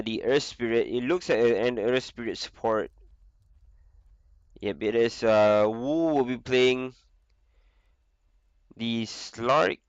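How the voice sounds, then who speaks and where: A young man with the flu, casually commentating a video game on his own, with a lot of filler pauses. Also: mid-range voice, clean tone, no echo, muffled recording. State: sick with flu. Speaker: esports caster.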